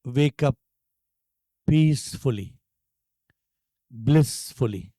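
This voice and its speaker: An Indian-accented man leading a guided meditation as a teacher, speaking calmly and deliberately with long pauses. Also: medium pitch, dry recording, clean studio sound. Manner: calm, hushed, deliberate phrasing with long pauses.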